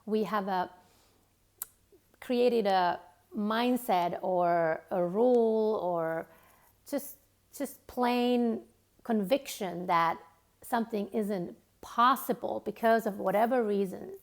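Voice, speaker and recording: A Finnish-accented woman at a middle pitch gives a motivational talk. She speaks gently and reflectively, pausing on fillers and restarting phrases as she goes. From Finland.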